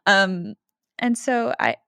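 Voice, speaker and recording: A woman's voice: mid-range voice, very animated, slow pace, sharp tone, no echo, studio quality. A woman in her thirties, originally from Canada, speaking as a podcast guest in a reflective, thoughtful way with frequent fillers and light laughs. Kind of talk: conversational interview.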